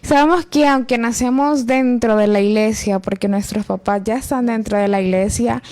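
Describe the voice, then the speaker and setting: Una joven adulta con acento salvadoreño presenta un programa cristiano y hace preguntas con cortesía, entre pausas y muletillas. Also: female voice, fairly high pitch, darkish tone. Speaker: host.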